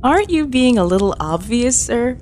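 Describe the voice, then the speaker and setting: A woman's voice: on the high side, big pitch swings, normal speed, darkish tone, slight background noise. A woman acting as a bold, flirtatious junior officer in a sci-fi audio drama. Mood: teasing.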